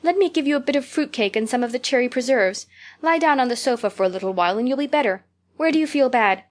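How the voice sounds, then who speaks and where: A woman's voice: high voice, fast talker, voice pushed up, natural voice. A woman reading aloud, voicing an imaginative, talkative little girl who gushes breathlessly. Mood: pleading. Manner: gushing, breathless storytelling. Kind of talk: audiobook character reading.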